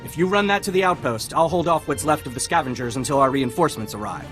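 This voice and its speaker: An actor playing an urgent Republic field officer in a video game, with clipped military delivery and music behind. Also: male voice, fairly high pitch, fast, a bit bright, close to an everyday voice. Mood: grateful, businesslike. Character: male Republic soldier, urgent field officer, brisk and grateful.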